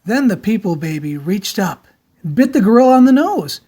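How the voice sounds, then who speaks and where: A woman storyteller reads a children's book aloud with theatrical flair. Her medium-pitched voice moves widely up and down, leaning on key words with deliberate emphasis. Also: sing-song, warm tone, slightly rough. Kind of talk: dramatic storybook read-aloud.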